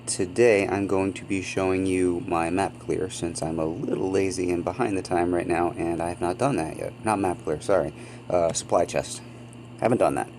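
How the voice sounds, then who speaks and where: Low-voiced man with a sniffly cold. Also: fairly even intonation, moderate pace, darkish tone, slight background noise. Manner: rambling, apologetic, with frequent ums. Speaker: gaming channel host. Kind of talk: casual gameplay commentary.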